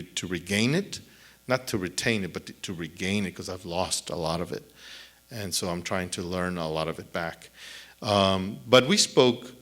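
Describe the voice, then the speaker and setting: A middle-aged man, a native Spanish speaker, answering audience questions on a theater stage at a lectern mic, thoughtful and halting with many restarts. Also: deep voice, a bit bright. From El Paso, Texas. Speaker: author at a book event. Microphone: lectern mic on a stand.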